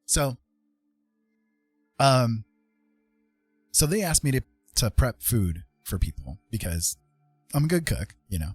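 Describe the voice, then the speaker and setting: A man's voice: mid-range voice, sing-song, slow pace, pauses often, slightly rough, no room sound, faint music behind. A man hosting a livestream, telling a personal story in a casual, rambling and informal way. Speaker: livestream host.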